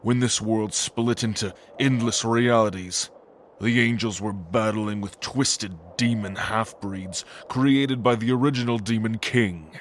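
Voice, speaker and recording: A man's voice: lowish voice, a little hiss or hum, close to an everyday voice. A man playing an ancient, scheming angel lord in a fantasy audio drama, speaking in a pompous, sanctimonious and menacing way. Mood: solemn. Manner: pompous, slow, menacing declamation.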